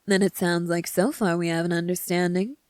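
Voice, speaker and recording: Woman as a low-voiced, smug young prince. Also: flat delivery, darkish tone, clean tone, no echo, clean studio sound, close to an everyday voice. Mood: calm, businesslike. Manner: measured, smug, formal, teasing delivery. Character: cunning, self-assured young male prince bargaining with a dragon. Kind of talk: ASMR roleplay acting.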